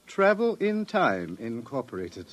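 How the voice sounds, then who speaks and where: A man's voice: medium pitch, huge pitch swings, measured pace. An actor playing a sly, suave government searcher who needles his prey in an insinuating way, in 1950s radio drama style. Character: sly, smooth, menacing male government searcher posing as vacationer.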